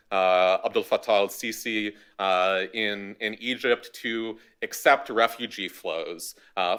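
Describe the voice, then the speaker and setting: A man speaking as a history panelist at a university event, giving a measured academic talk with a dry, wry touch. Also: lowish voice, fairly bright tone, smooth.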